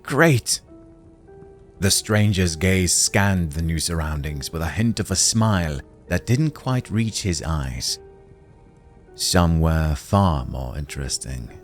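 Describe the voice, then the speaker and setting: A man's voice: sits low, takes it slowly, faint music behind. A man reading scripted dramatic narration as the storyteller of a fantasy tale.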